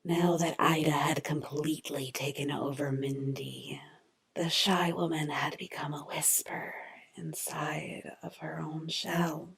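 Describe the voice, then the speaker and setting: Woman, horror narrator, whispery husky voice, low pitch, slow scripted delivery, gravelly. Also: flat delivery.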